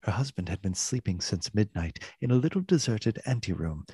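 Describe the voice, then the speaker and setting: A man's voice: on the low side, expressive, a little fast, dark tone, slightly rough, no room sound. A man narrating a literary radio play in a formal, theatrical storytelling manner.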